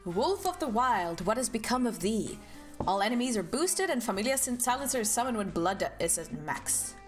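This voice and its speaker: Young Norwegian woman streamer, bubbly, very lively pitch, faint game music behind. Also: normal speed. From Norway. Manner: bubbly, greets chat with high squealed hellos. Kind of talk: casual live stream chat.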